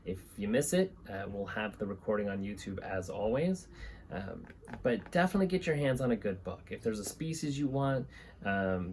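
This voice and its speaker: Man in his thirties, noisy room. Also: fairly low pitch. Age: 30s. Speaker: host of a reptile-keeping channel, reading books aloud. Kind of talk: reading aloud and informal talk to camera. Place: small indoor room with reptile enclosures and a fan. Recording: clear background room noise.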